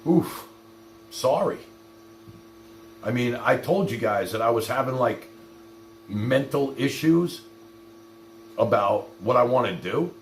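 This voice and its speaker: A man's voice: medium pitch, expressive, slow pace, pauses often, a little hiss or hum. A man hosting a livestream, ranting at his viewers in a profane, confrontational, exasperated way with blunt rhetorical questions. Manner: addresses viewers directly with repeated rhetorical questions. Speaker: livestream host.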